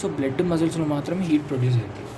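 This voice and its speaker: A man's voice: fairly high pitch, racing. A man with a Telugu accent giving an informal lesson as a teacher, explaining notes step by step and repeating points as if to one student. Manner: explanatory, repetitive, addressing a student directly. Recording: clear steady background noise.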